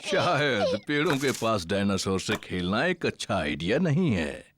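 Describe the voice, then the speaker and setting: A man voicing a kindly, playful father pig in a children's cartoon dub, gentle and encouraging. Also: lowish voice, very animated, measured pace, a little hiss or hum.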